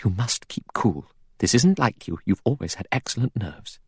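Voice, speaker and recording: A man voicing an anxious, highly strung young woman in an audiobook, urgent and faltering, close to panic. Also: expressive, normal speed, breathy edge, no room sound, full character voice. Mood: fighting panic.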